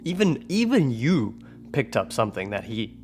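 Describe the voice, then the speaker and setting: A man's voice: mid-range voice, big pitch swings, normal speed, a little mellow, faint music behind. A man playing a skeptical, challenging field agent in a tabletop game, blunt and pressing.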